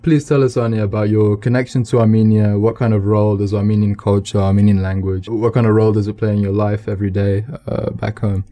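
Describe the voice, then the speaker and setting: Low, flat-voiced young male radio host. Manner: polite, measured, frequent affirming murmurs. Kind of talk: scripted radio interview.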